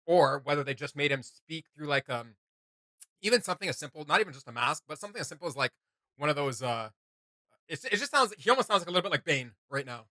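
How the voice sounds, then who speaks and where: Guy doing reaction commentary, kinda high bright voice, excited and rambly, close dry sound. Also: fast, no room sound. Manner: enthusiastic, rambling, joking. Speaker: reaction video host.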